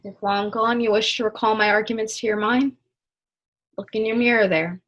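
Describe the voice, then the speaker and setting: A woman's voice: a little high, fairly even intonation, a little fast, fairly bright tone, highs cut off. A young woman acting an ardent, impatient lover in a stage melodrama, declaiming with passion. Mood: resolute. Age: young adult. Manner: declamatory, impassioned. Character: ardent, impatient lover, eloquently scolding and pleading. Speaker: student actor. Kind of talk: stage acting in a one-act period melodrama.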